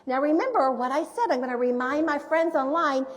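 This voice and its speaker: A woman in the role of a storyteller, gently and encouragingly addressing children during a read-aloud. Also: high-pitched, brisk. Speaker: storyteller playing Mrs. Claus. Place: large bright indoor room, seated before children. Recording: reverberant hall, recorded live.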